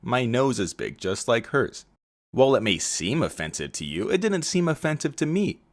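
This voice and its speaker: A man's voice: mid-range voice, very animated, normal speed. A man giving personal opinion commentary in a video.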